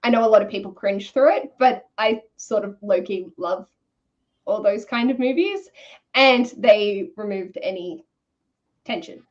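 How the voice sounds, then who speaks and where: A woman's voice: mid-range voice, darkish tone, muffled recording. A woman chatting casually and candidly, with informal, slangy wording, as a co-host of a book discussion. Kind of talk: casual chat.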